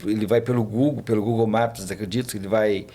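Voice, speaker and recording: A man's voice: lowish voice, steady tone, fairly quick, dark tone. A man, a podcast guest telling travel stories in a rambling casual way, full of fillers and restarts. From Brazil. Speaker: podcast guest, tour guide. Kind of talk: casual chat, storytelling.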